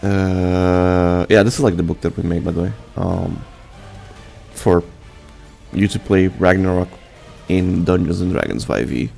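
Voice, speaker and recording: A young adult man whose first language is Portuguese, speaking English with a Brazilian accent and giving a casual, halting channel update full of fillers and restarts. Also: deep voice, creaky, a little mellow, faint music behind. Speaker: channel host.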